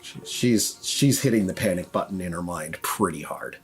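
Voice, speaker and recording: A man narrating a tabletop horror game as game master, halting and pausing as he goes. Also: sits low, lively intonation, soft background music.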